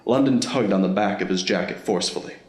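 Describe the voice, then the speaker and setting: Guy narrating an audio drama, lowish voice, echoey room. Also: fast. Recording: roomy, echoing voice recording.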